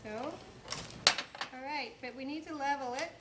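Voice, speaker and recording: A woman's voice: sits high, normal speed, very noisy. A middle-aged woman hosting a cooking show, patiently guiding a child through a recipe with polite requests. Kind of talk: cooking demonstration for kids. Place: indoor studio kitchen set.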